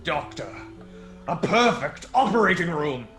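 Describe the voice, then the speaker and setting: Radio drama villain male actor: menacing criminal nephew, slow and forceful, quick to anger, faint music underneath. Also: on the high side, sing-song, gravelly, heavily acted. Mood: cold, scheming. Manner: slow, deliberate, sinister. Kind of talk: old-time radio play acting.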